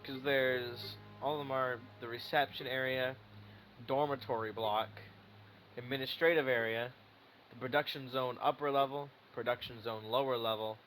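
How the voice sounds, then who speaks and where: A man's voice: mid-range voice, fairly bright tone, low-quality recording. Casual male gamer thinking aloud. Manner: informal, swearing, thinking aloud. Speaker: video game streamer. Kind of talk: casual gameplay commentary.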